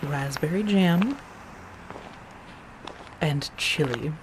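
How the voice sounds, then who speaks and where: Woman acting as a playful vampire girl roommate, low soft voice, chatty asmr roleplay, bit of noise behind her. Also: expressive, very slow, full character voice. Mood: teasing, enthusiastic. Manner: gentle, chatty, teasing, with soft murmurs and breathy asides.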